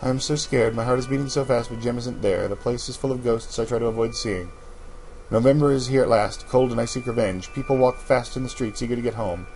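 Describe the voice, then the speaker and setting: A man's voice: medium pitch, fairly quick, a little mellow, rough recording. A man hosting a let's play, reading in-game journals aloud and making sarcastic asides. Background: music.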